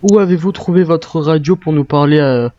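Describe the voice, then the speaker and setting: Mellow, higher-voiced man in lo-fi game chat. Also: moderate pace, no echo. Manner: repeats short phrases insistently. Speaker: role-play gamer. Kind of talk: improvised in-character online game role-play. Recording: slightly dull, lo-fi game voice chat.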